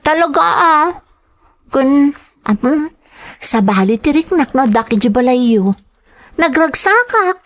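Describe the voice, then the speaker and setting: A woman's voice: sits high, very animated, unhurried, pauses often. A woman playing a sheltered, obedient young student from a rich family, with an Ilocano Filipino accent, in an audio drama. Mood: eager, wistful.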